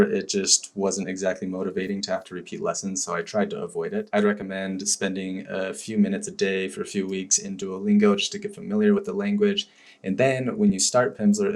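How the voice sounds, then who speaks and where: Low, flat-voiced man reviewing casually. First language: English. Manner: relaxed, rambling with fillers and restarts. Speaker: language learning vlogger. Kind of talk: casual review talk to camera.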